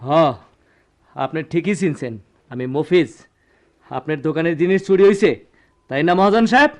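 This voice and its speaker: Guy doing a self-assured gold dealer in a radio drama, rural bangladeshi accent, fairly high voice, deliberate. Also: lively intonation, close to an everyday voice. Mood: confident, reproachful. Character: self-assured former shop worker turned gold dealer, righteous. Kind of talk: Bengali radio play acting.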